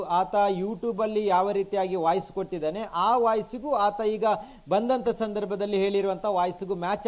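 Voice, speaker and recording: A man's voice: high-pitched, a little mellow. A man with a Karnataka accent giving an unscripted live field report in repetitive, explanatory phrasing.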